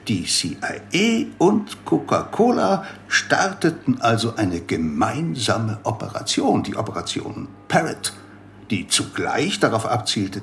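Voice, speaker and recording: A man's voice: medium pitch, gravelly, a little hiss or hum, exaggerated voice. A man playing a rambling, conspiracy-minded doctor in a radio play, lecturing with theatrical emphasis.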